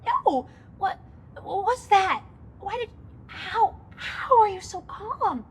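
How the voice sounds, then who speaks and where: A young woman playing a frightened teenage girl in an audio drama, stammering and halting. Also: sing-song, full character voice. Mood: panicked. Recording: faint noise with low rumble.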